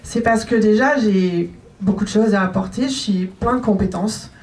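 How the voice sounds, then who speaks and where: A woman's voice: mid-range voice, normal speed, darkish tone. A woman in her forties speaks to a meeting about joining a local election list, in a chatty, lightly joking way. Her voice comes from some distance from the mic. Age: 40s. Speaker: municipal list candidate. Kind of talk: public self-introduction.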